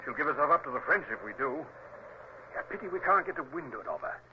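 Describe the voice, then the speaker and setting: A hissy, dulled old radio drama recording. A man plays a brisk British naval officer, hearty and deferential, with a very lively, swooping delivery. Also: on the high side, muffled recording, natural voice. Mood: alert, regretful.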